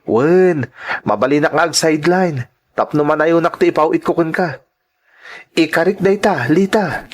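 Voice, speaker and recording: A man's voice: a little high, a little slow, pauses often. A man with an Ilocano accent playing an earnest, devoted young son working abroad, in sentimental radio drama style. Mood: reassuring, hopeful.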